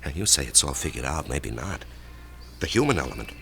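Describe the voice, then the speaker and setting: Radio drama, mild American married salesman, deep voice, self-doubting, hesitant delivery. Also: big pitch swings, vocal fry, a bit bright, slight background noise, exaggerated voice. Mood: puzzled, doubting. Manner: hesitant, halting.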